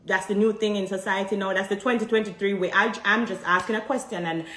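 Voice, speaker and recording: A woman with a Jamaican accent speaks directly to her audience, hammering home her point with repeated rhetorical questions. Her voice sits at an ordinary middle pitch. The room around her rings with noticeable echo. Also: fairly even intonation, quick pace, a bit bright. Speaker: vlogger addressing viewers. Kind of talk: direct-to-camera commentary.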